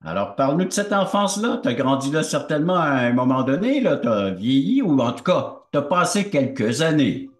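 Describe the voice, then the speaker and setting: A man hosting an interview show, welcoming his guest warmly. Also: medium pitch, big pitch swings, moderate pace, hardly any pauses, reverberant, faint music behind. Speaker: host.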